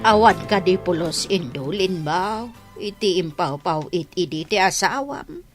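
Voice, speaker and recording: Stern, animated scolding matriarch. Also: female voice, lowish voice, measured pace, over music. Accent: Filipino, Ilocano. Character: stern, scolding elder mother, unyielding. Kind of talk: radio drama acting.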